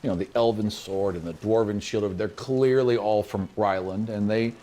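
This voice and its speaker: A man's voice: fairly low pitch, steady tone, normal speed, dark tone, slight background noise. A man narrating a fantasy tabletop game as game master, descriptive and informal.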